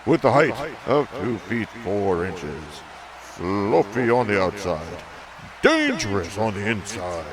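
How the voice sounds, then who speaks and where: A man playing a dramatic wrestling ring announcer in a cartoon, with drawn-out flourishes. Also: on the low side, huge pitch swings, full character voice. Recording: crowd noise behind.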